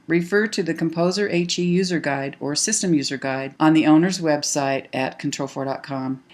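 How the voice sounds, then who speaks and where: Low-pitched woman narrating a software tutorial. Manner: clear step-by-step explaining. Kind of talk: scripted instructional narration.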